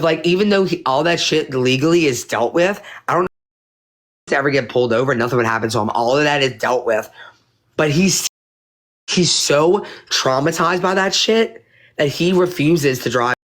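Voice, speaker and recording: A woman hosting a casual livestream, rambling and swearing, her voice going hoarse from talking. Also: sits low, moderate pace, pauses often. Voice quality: profane, rambling, animated. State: losing her voice. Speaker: livestream host.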